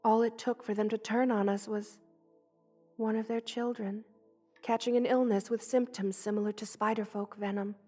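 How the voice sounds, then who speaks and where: A woman voicing a gentle, loving, motherly spider queen in a soft, hushed ASMR roleplay, tender and wistful, often slipping toward a whisper. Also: fairly high pitch, level tone, moderate pace, soft background music, lightly acted. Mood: sorrowful, hushed.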